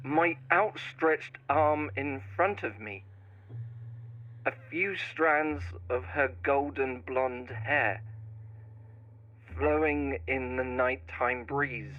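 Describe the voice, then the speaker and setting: A man playing a brooding, obsessive horror writer who turns menacing in a slow, deliberate first-person scripted monologue with dramatic pauses. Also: medium pitch, narrow, tinny audio. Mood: haunted.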